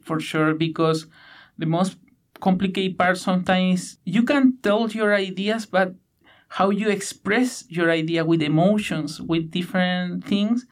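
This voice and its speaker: A man in his thirties from Chile with a Chilean Spanish accent, answering interview questions in halting English with many fillers and restarts. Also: high voice, steady tone. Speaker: guest, PhD student.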